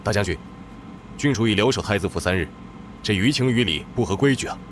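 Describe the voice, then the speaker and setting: A man voicing formal, grave court retainers in an animated period drama. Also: fairly low pitch, fast talker, lightly acted. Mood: urgent. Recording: clear background noise behind voice.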